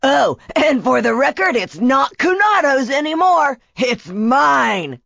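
Sneering, boastful Tiki henchman villain read by a woman, animated and lifted in pitch. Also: slow pace, vocal fry, no echo, clean studio sound, full character voice. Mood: boastful, menacing. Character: scheming, mocking male Tiki henchman turned power-hungry villain.

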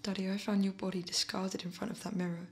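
An actress playing a haunted, obsessively loving daughter in an audio play, speaking with halting intensity and repeating her words. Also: mid-range voice, level tone, natural voice. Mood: serene, obsessive. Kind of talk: dramatic monologue, audio play.

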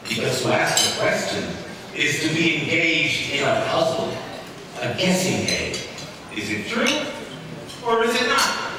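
A man's voice: fairly high pitch, very animated, a little slow, long pauses. A middle-aged man giving a theatrical, playful poetry recital to a hall as a reader at an awards ceremony. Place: large dark auditorium at an awards event. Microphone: lectern microphone heard through far camera. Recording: echoey hall from far off, noisy.

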